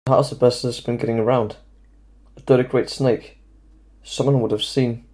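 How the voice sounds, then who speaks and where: A man acts an anxious schoolboy wizard in an audio play. He has a soft, mellow edge and a mid-range voice, blurting doubts bluntly and stumbling over explanations. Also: mid-range voice, steady tone, moderate pace, lightly acted. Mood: doubtful. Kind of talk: fan audio drama acting.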